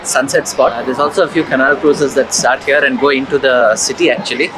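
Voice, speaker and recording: A man's voice: medium pitch, steady tone, a little fast, noisy background. A man giving casual, explanatory travel commentary as a vlogger outdoors. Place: outdoors on city streets and waterfront.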